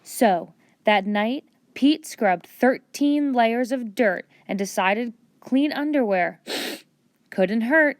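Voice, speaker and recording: A woman reading a storybook aloud with expressive children's narration. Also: a little high, takes it slowly, no echo. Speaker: storybook reader.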